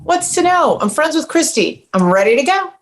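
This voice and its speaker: A woman's voice: high-pitched, very animated, moderate pace. A woman playing a haggard, boozy woman in her forties, bitter and sardonic, in a screenplay table read. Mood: brash, eager. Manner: sardonic, blunt.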